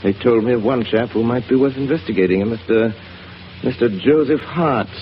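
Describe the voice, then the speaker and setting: Man playing a calm, confident gentleman detective, measured delivery, 1930s radio play, lo-fi sound. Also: mid-range voice, lightly acted. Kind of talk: 1930s radio drama acting. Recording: hissy lo-fi 1930s radio broadcast.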